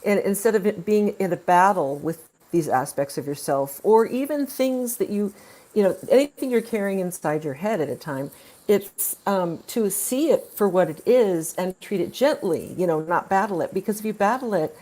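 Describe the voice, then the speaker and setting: Female guest, medium pitch, casual and explanatory. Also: lively intonation, moderate pace, dark tone. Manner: informal, explanatory. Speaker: guest performer. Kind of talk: casual chat.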